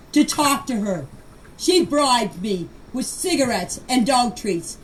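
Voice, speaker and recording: An older woman giving an unscripted personal account in voice messages, speaking emphatically and defensively and repeating phrases to press her point. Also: fairly high pitch. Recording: noisy amateur voice-message clips.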